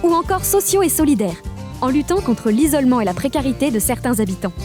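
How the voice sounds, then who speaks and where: A woman from a regional nature park staff, giving upbeat scripted narration for an animated explainer. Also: sits high, faint music behind. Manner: upbeat, informative, lists facts briskly.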